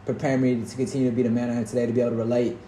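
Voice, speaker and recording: A man's voice: mid-range voice, little pitch movement, very fast, dark tone. A man hosting a casual faith-filled conversation, talking in an earnest, rambling way with many restarts. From Detroit, United States. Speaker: podcast host. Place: roomy indoor space, recorded in Portugal. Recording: echoey room with faint background noise.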